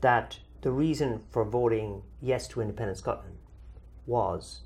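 A man's voice: mid-range voice, measured pace, vocal fry, a little mellow. Irish man hosting a question discussion. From Ireland. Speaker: host answering viewer questions. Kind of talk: conversational discussion.

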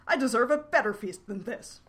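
Woman doing a shrill little yam creature. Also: expressive, a little fast, close to an everyday voice. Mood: indignant. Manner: shrill, indignant, ranting. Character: tiny furious male yam creature.

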